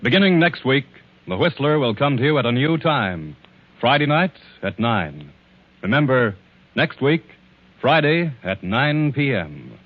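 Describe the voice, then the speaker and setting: Lively 1940s radio announcer, man. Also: mid-range voice, unhurried, phone-quality sound. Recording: old radio recording over music.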